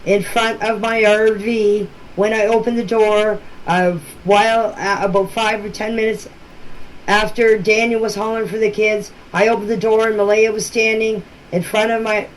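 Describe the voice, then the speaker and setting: An amateur voice-message recording with faint noise carries a woman's mid-range voice. She explains things informally and deliberately. Her way of talking is plain-spoken and matter-of-fact. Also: medium pitch, flat delivery, rough recording.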